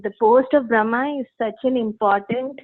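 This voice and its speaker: A woman taking part in an online class, speaking politely and slowly with frequent fillers. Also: fairly high pitch. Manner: polite and measured, many fillers. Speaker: class participant. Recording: thin phone-line sound.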